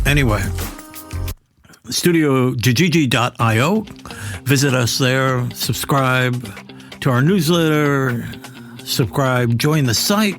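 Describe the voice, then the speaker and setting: A middle-aged or older man hosting a casual podcast, talking in a rambling, self-deprecating, conversational way. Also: mid-range voice. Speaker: podcast host and voice actor.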